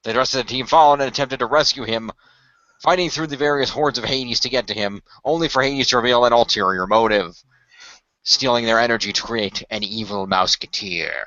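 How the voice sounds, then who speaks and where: Bright-voiced man hosting, dull close recording. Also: medium pitch, brisk, dry recording. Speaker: host and narrator of a fan radio play. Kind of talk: casual hosting and story narration.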